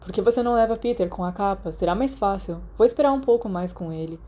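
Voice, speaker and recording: A caring teenage schoolboy from a storybook, read by a woman with a Brazilian accent. He talks casually and matter-of-factly, in a mellow, relaxed tone, like a practical friend taking charge. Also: mid-range voice, fast, natural voice. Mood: practical, calm. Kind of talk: storybook character dialogue.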